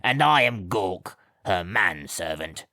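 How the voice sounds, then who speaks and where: A man's voice: deep voice, animated, takes it slowly, sharp tone, no room sound, clean studio sound, heavily acted. A man voicing a small, spiteful manservant who sneers and snarls. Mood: sneering. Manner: sneering, rude.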